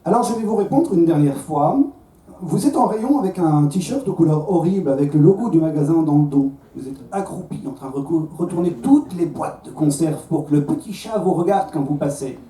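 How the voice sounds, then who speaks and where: Belgian stand-up man, warm roomy stage sound. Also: on the high side, lively intonation, brisk. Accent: Belgian French. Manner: conversational, self-mocking, with stammered restarts. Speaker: stand-up comedian. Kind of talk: stand-up comedy set. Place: comedy club stage. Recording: roomy club sound from a distance, faint crowd.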